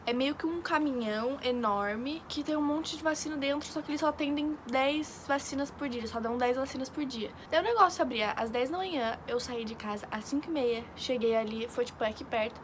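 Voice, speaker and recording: Young woman, from Brazil, high-pitched, chatty, rambling, noisy recording. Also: brisk, hardly any pauses. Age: young adult. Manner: chatty, rambling storytelling with many fillers. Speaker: exchange student vlogger. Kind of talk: casual chat. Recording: noisy background.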